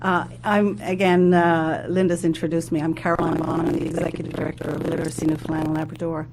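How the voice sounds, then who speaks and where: Executive director, woman, low voice, halting delivery with fillers, on a handheld mic, loud hum behind. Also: level tone. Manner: hesitant, many fillers and restarts. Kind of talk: conference presentation.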